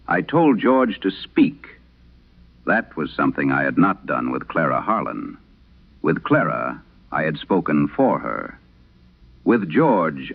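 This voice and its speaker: Guy doing old exorcist priest, super deep n gravelly, grave horror radio story, old radio sound. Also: phone-quality sound, full character voice. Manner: grave, measured, dramatic pauses. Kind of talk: solemn first-person horror radio storytelling. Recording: band-limited vintage radio sound.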